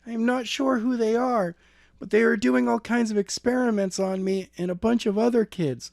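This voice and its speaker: A man's voice: extremely high pitch, steady tone, normal speed, creaky, darkish tone, no room sound, clean studio sound, voice pushed up. A man voicing a frightened girl in a story, pleading urgently and breathlessly. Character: frantic, frightened kidnapped girl pleading over phone.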